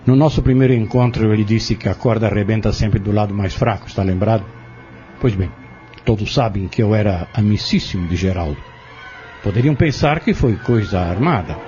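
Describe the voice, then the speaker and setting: A man acting a composed, persuasive, scheming trader in an audio drama, speaking formally and politely with measured phrasing. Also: on the low side, dark tone, close to an everyday voice. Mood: composed, knowing. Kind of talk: dramatic radio-novela acting. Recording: muffled lo-fi audio drama recording. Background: prominent background music.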